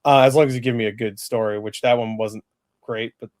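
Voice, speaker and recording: A man's voice: medium pitch, fairly even intonation, brisk, a little mellow, dry recording, studio quality. A man giving a casual film review in a rambling, emphatic and blunt way, as a movie reviewer in conversation.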